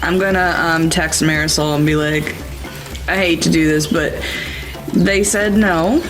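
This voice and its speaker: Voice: mid-range voice, fairly even intonation, a little slow, over music. A tabletop player improvising casually, thinking aloud in a hesitant manner.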